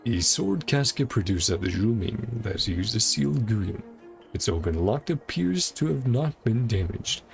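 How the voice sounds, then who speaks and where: A man's voice: fairly low pitch, very animated, measured pace, vocal fry, soft background music. A man doing casual video game playthrough commentary, musing aloud and reading game text out as he goes. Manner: musing aloud, speculating to himself. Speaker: gaming content creator playing through a story quest.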